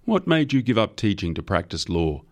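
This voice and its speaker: A man's voice: lowish voice, sing-song, normal speed, pitched above the performer's own voice. Audiobook role read by a man: jovial male business magnate, forthright and commanding, raised emphatic voice. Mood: amused, interested. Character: powerful, blunt, jovial male company tycoon.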